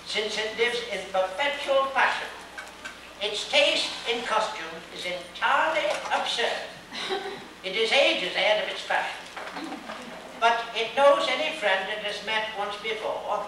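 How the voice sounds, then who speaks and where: A man's voice: high voice, bright, forceful tone, exaggerated voice. A man playing a pompous, genial butcher who lectures theatrically in a dramatic poetry reading. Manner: lecturing, theatrical, breaking into chuckles. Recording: distant, very echoey hall, faint hiss.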